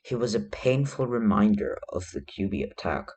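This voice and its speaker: A man reading a story aloud as a narrator, a little halting in his delivery. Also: on the low side, sing-song, a little mellow, slightly rough. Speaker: fan fiction reader.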